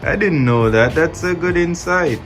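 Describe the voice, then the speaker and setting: A man's voice: on the high side, lively intonation, normal speed, noisy background. A man off camera, chiming in with brief reactions and questions. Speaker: off-camera companion.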